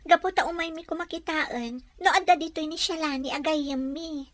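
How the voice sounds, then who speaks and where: A woman's voice: sits high, normal speed, lightly acted. A woman playing a gossipy, concerned neighbour in an Ilocano audio drama, chatty and given to exclaiming.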